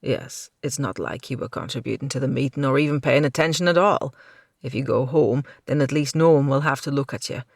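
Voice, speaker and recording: A reader voicing a lofty duke who speaks in a dry, condescending way. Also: male voice, mid-range voice, brisk, gravelly, no room sound.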